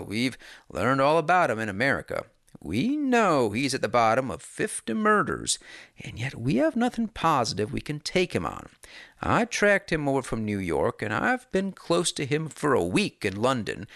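Reader as a quiet businesslike young detective guy, plain and steady, dry sound. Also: mid-range voice, vocal fry, dry recording. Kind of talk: audiobook character reading.

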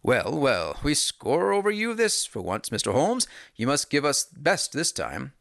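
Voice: medium pitch, big pitch swings, measured pace, gravelly, a bit bright, no echo, clean studio sound, exaggerated voice. A reader voicing a bluff, self-satisfied male police inspector in a Victorian audiobook. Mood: smug. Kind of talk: audiobook character reading.

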